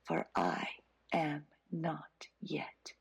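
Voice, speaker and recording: An older woman reciting poetry dramatically and emphatically in a breathy, raspy, whispery voice. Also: sits low, sing-song, extremely slow. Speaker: poet.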